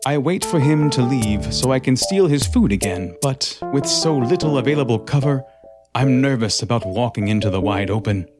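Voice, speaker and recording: A man's voice: mid-range voice, expressive, moderate pace, close to an everyday voice. Man voicing a smug sneaky little creature. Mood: scornful. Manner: smug, disdainful first-person narration. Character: small sneaky creature, proud, wary, scornful. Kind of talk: audio drama monologue. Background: loud music.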